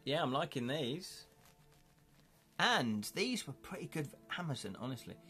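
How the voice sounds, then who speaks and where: A man with an English accent hosting a casual live stream, chatty and excitable, chuckling often as he unboxes things at home. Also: on the high side, moderate pace, husky, soft background music. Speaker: livestream host.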